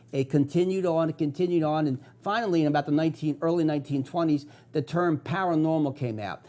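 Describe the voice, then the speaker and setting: A man's voice: on the high side, mellow. Upbeat Philadelphia-accented male host. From South Philadelphia. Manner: enthusiastic, conversational, with filler uhs. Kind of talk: local TV talk show monologue.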